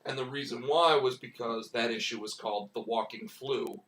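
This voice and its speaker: A hoarse man talks casually at a middle pitch, rambling through his thoughts with plenty of restarts. His voice sits back from the mic in a roomy, echoing space. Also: normal speed, a bit bright, distant mic. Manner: rambling, many restarts and "like" fillers. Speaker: comic book writer and stream host. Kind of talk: casual live-stream chat.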